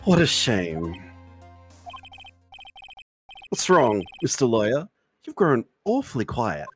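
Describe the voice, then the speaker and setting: A man's voice: mid-range voice, wildly swooping pitch, unhurried, soft background music. A man voicing a smug, manipulative celebrity killer with a theatrical, condescending, mock-sweet drawl in a game fan dub. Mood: taunting, condescending. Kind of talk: live fan dub of a visual novel game.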